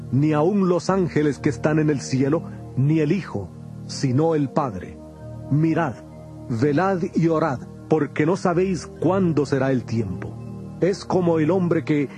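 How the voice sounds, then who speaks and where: Guy acting as a grave male prophet teacher, bit high voice, measured and commanding, faint music behind, bible audio drama. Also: close to an everyday voice. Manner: solemn, measured, declarative.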